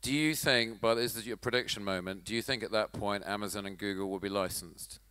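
40s guy hosting a conference panel, bright crisp tone, keeps things moving. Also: on the low side, no echo. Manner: brisk, laughs easily, steering the chat. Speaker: panel moderator and company chairman. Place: large conference hall stage. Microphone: handheld mic.

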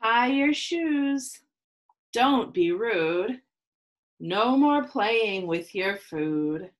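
A woman in her thirties reading a children's picture book aloud in slow, sing-song rhymes, recorded on a webcam at home. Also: fairly high pitch, lively intonation. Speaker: children's read-aloud host. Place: home room with plants, indoors.

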